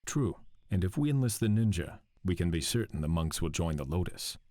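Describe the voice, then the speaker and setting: A resolute young warrior heir from a video game. His male voice is low and grave, with a serious, commanding manner. The sound is dry and close. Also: animated, a little fast, mellow, dry recording. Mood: measured, deliberating. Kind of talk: video game cutscene acting.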